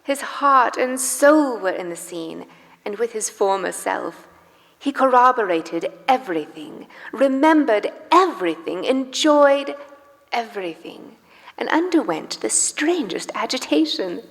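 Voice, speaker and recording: A woman narrating a live radio play in expressive, literary storytelling style. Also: a little high, a little slow. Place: church hall, live performance. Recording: live in reverberant church hall with music.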